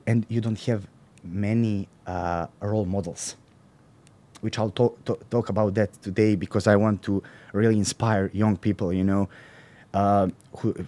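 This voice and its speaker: Serbian guy, lowish mellow voice, halting english, lots of uh and you know. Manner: halting, searching for words, many fillers and restarts. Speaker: guest, professional bodybuilder. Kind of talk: casual interview talk.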